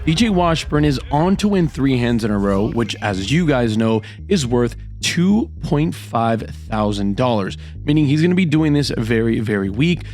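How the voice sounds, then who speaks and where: A man narrates poker hands over clearly audible background music, his mid-pitched voice a little hoarse from a recent cold. He talks with hyped, dramatic play-by-play energy. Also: sing-song, normal speed, hardly any pauses, a little mellow. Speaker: poker vlogger.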